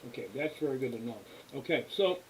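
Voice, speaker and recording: An American man hosting a casual explanatory livestream, talking in a halting, rambling, folksy way. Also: mid-range voice. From New England, US. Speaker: livestream host, radio scanner hobbyist. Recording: hissy, clearly noisy background.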